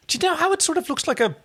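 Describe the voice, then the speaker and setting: A man's voice: very high voice, bright, forceful tone. Man chatting enthusiastically as podcast co-host. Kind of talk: casual TV recap chat.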